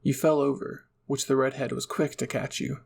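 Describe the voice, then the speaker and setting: A man's voice: medium pitch, little pitch movement, normal speed, creaky, a little mellow. A man narrating a second-person roleplay story as a channel host.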